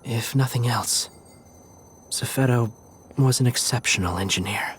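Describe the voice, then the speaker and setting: Man acting a thoughtful, mid-pitched gentlemanly ally. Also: unhurried, a little hiss or hum, close to an everyday voice. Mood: reflective. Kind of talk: video game cutscene acting.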